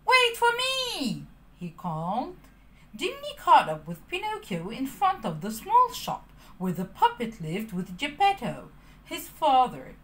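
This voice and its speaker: A woman reading a children's storybook aloud, animated and addressing her young listeners. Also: on the high side, unhurried, a little hiss or hum.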